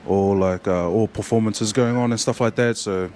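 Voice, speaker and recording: A young man with a New Zealand accent, a rugby player chatting as a guest in a casual interview, halting and full of fillers and restarts. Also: fairly low pitch, fairly even intonation, background noise.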